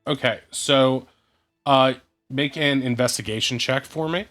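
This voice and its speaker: A man running an online tabletop game as game master, speaking in a measured way with many hesitant fillers. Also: medium pitch, fairly bright tone, faint music behind.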